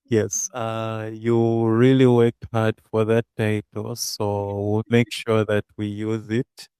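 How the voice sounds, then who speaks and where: A man hosting an online panel, speaking in a halting way with many fillers and restarts. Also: fairly low pitch, level tone, mellow, smooth, no room sound, clean studio sound. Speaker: host.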